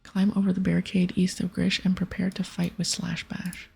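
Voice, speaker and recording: A woman giving casual, gentle ASMR commentary on a video game in a soft, breathy, often whispered voice. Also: fairly low pitch, completely flat delivery, normal speed. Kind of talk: ASMR game commentary, reading dialogue aloud. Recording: soft music behind. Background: music, keyboard clicks.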